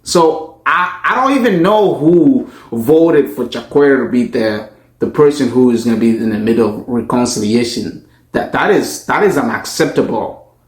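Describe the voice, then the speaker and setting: A man from Tanzania with a Tanzanian accent, a pastor making an earnest personal statement in a deliberate, emphatic way. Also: medium pitch, lively intonation. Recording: echoey, roomy sound.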